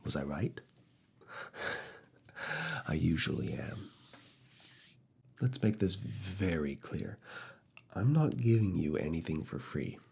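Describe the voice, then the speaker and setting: Man playing deep, husky, seductive professor. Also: very animated, a little mellow, heavily acted. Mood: firm, challenging. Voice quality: husky, breathy, low gravelly voice. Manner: slow, deliberate, pauses between short phrases, slipping into whispers. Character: male university professor, firm, teasing, quietly seductive. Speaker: ASMR roleplay performer. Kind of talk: ASMR roleplay, soft intimate one-to-one talk.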